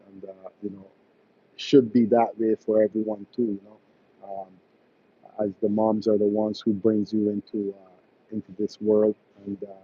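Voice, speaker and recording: A man from Barbados runs a casual live stream, chatting hesitantly with viewers and pausing on many ums and uhs. His voice is low and flat, with little rise and fall, and the lo-fi stream audio sounds dull. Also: husky. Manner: hesitant, many fillers and restarts. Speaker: electrician hosting a live stream.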